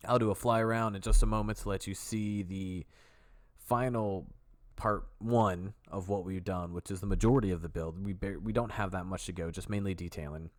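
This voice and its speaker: A man with a very low voice talks through a building tutorial as a relaxed voice-over. He is sick and a bit sniffly, and he rambles easily and corrects himself along the way. The sound is dry and close, with no room around it. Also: normal speed, no room sound. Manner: easygoing, rambling, self-correcting. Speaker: video tutorial host. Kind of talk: casual voice-over walkthrough.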